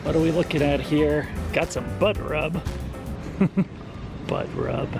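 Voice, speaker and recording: A man with a raspy, rather high voice runs a cooking vlog with a sarcastic, rambling delivery, swearing constantly. Loud music plays under his talk. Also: slow. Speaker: cooking video host. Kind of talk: profane comedic cooking vlog.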